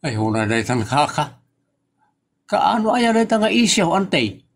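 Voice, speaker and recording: A man's voice: a little high, big pitch swings, unhurried. A man playing a teasing nephew in a comedy audio drama, talking in a playful way. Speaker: nephew teasing his aunt.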